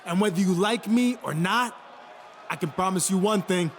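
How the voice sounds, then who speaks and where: A man playing a proud, defiant wrestling champion in a video game, giving a deliberate speech. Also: high-pitched, some chatter behind.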